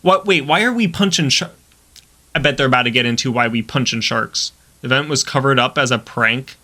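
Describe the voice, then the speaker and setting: A man's voice: medium pitch, a little fast, bright, forceful tone. A man hosting a reaction video, giving casual commentary in a playful, slangy, exaggerated joking manner.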